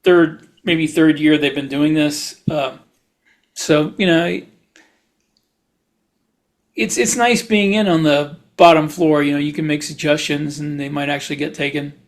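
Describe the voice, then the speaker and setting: A man's voice: a little high, steady tone, a little slow. A man chatting casually as a podcast host, rambling through anecdotes.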